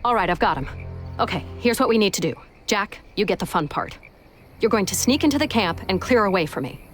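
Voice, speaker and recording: An actress plays a tough female agent from a video game. She gives orders briskly with a teasing, self-assured edge. Music plays under the voice. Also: mid-range voice, lively intonation, natural voice. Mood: confident, teasing. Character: tough, confident American female special agent. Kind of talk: video game cutscene acting.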